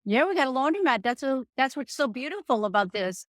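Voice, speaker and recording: Chatty New Orleans woman, fairly high. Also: very animated, a little fast, dry recording, studio quality. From New Orleans, Louisiana. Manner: folksy, chatty storyteller. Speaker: guest, restaurant owner. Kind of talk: casual interview chat.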